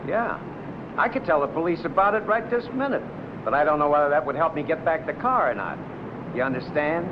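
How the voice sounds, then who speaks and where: An actor playing a stern older boss in a 1950 film noir, insistent and menacing. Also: male voice, a little high, animated, a little fast, close to an everyday voice. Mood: coolly menacing. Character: stern, scheming older male boss, coolly extorting. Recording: muffled, noisy old film soundtrack.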